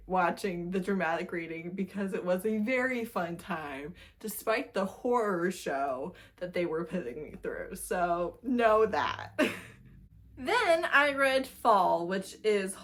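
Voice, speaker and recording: A woman giving a casual, animated book review, telling plots informally with a giggly manner. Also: lowish voice. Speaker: book review video host. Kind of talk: casual chatty book wrap-up talk to camera.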